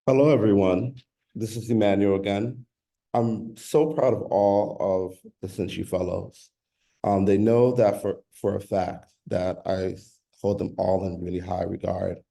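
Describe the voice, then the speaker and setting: A man from the Bronx introduces his cohort with proud, formal phrasing. His voice is very deep and dark, with a gravelly rasp. He speaks over a video call. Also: steady tone, unhurried. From the Bronx, New York. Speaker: program manager.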